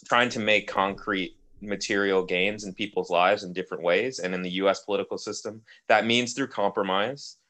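A young man co-hosts a casual podcast in a very deep, low voice. He speaks in measured, articulate sentences with frequent ums. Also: steady tone, pushed and bright. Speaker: podcast co-host, policy advocate.

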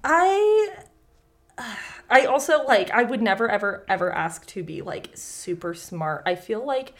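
A woman's voice: medium pitch, sing-song, measured pace. A young woman co-hosting a podcast, moving between casual, giggly, sweary banter and reading folktales aloud.

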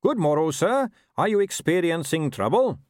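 A man voicing a polite, formal horseman with calm menace, in a storybook reading. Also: on the high side, normal speed, no room sound, studio quality, pitched above the performer's own voice. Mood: calmly confident. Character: courteous, calmly menacing young horseman, earnest and deliberate.

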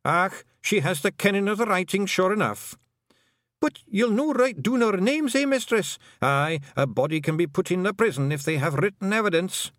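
Tiny gleeful Scottish pixies. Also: male voice, high voice, lively intonation, normal speed, a bit bright, no echo, studio quality, pitched above the performer's own voice. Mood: awed. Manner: gleeful and murmuring. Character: tiny rowdy male pixie folk.

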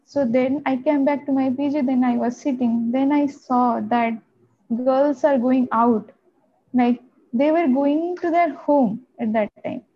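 A young woman from Odisha with an Indian accent, a guest recounting her own experience in a plain, steady way. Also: high voice, a little slow. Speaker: guest, software engineer. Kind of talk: personal account in an interview. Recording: dull lo-fi audio.

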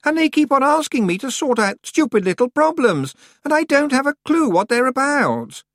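A shrill, whiny teenage witch girl, pitched very high and swinging wildly up and down. She frets and rushes her words in a storybook reading, close and dry. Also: big pitch swings, dry recording. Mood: complaining. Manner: stammering, frantic.

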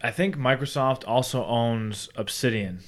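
A man's voice: medium pitch, a little slow. A man co-hosting a casual chat, jokey and teasing, steering the conversation along. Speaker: podcast co-host.

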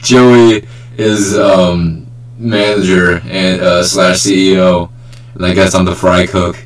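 Low-voiced young man hosting casually. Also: level tone, takes it slowly. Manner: joking, bantering, swearing. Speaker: podcast host. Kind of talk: casual group chat. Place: remote group call.

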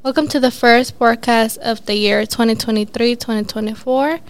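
A high school senior girl hosting a school podcast, reading out plain scripted questions. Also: fairly even intonation, moderate pace. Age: teens. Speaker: student podcast host.

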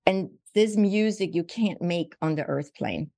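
A woman, her voice set fairly low and recorded dry and close. She tells a personal story in an earnest, reflective way. She often circles back and repeats words as she searches for the next phrase. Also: a little slow, warm tone, no echo. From Sweden. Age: 60s. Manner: earnest, reflective, frequent restarts and repetitions. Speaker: guest physician. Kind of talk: conversational storytelling.

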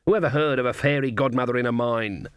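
A man's voice: medium pitch, fast talker, smooth, no room sound, lightly acted. Guy doing a snappy old witch lady for a storybook, proud n bossy, super dry close recording. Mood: scornful, suspicious. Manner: curt, dismissive, snapping. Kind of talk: audiobook character voice.

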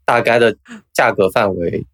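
A man's voice: fairly low pitch, moderate pace, fairly bright tone, dry recording. A man hosting a podcast, chatting casually with an inquisitive, probing manner. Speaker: podcast host. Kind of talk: casual chat.

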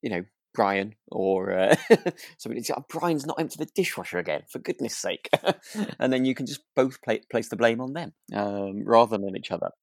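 A woman co-hosting a podcast in a relaxed chat style, agreeable and a little hesitant, correcting herself as she goes. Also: a bass voice, moderate pace, no echo, studio quality. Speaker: podcast co-host. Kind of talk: relaxed conversational drama recap.

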